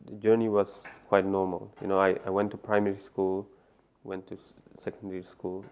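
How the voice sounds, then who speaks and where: Deep gravelly man. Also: level tone, fairly quick, darkish tone. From Afghanistan. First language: Farsi. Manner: hesitant, many fillers and restarts. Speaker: interview guest. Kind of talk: personal story interview. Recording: muffled lo-fi sound.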